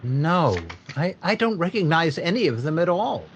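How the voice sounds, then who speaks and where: Man doing shaky stammering businessman in a 40s radio cop show, high jumpy voice, hiss in the background. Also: very animated, moderate pace. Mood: shaken. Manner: hesitant, stammering. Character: shaken middle-aged male factory owner robbed of payroll. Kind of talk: 1940s radio drama acting.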